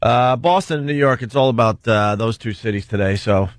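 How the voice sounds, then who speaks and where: A male radio host with a middle-pitched voice chats casually and loosely, feeling his way through sentences. The studio sound is dry and close. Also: a little fast, no room sound. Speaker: radio talk show host. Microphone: close studio mic.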